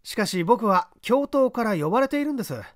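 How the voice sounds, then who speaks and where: Male reader as young reporter sleuth, raised bright pitch, courteous, confident, audiobook mystery. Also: big pitch swings, a little fast, no room sound, studio quality. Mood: cheerfully confident.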